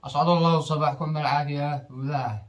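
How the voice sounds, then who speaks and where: Male guest, very fast, boastful and loud. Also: fairly high pitch, fairly even intonation. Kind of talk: joking quiz answers.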